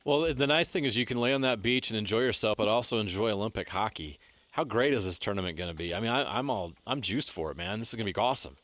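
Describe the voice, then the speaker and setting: A man's voice: lowish voice, animated, fast, a bit bright. A man co-hosting casual, enthusiastic sports chat. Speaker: sports radio co-host.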